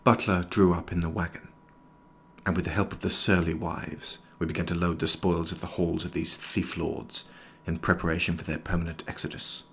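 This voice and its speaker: A man playing an educated, observant doctor who narrates his frontier journal in a formal, measured, literary way for an audio drama. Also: sits low, little pitch movement, a bit bright, breathy edge, heavily acted. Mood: solemn, reflective.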